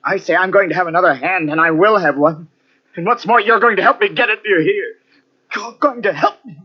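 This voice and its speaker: A man's voice: high voice, fairly quick, lightly acted. An actor playing an obsessed, half-mad pianist who lost his hand, intense and theatrical in a vintage radio mystery. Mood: frantic, demanding. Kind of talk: vintage radio mystery acting with first-person narration. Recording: muffled vintage radio drama on cassette.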